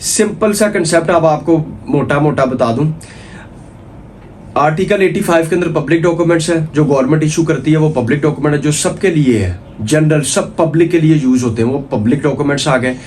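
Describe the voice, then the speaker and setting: A Pakistani man delivers an informal lecture in a slightly high male voice. He explains step by step, repeating key points and often checking that the listener follows. There is faint ambient noise in the recording. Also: a little fast, a little mellow. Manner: didactic, repetitive, checks in with "okay". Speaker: law lecturer. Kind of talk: informal explanatory lecture mixing Urdu and English.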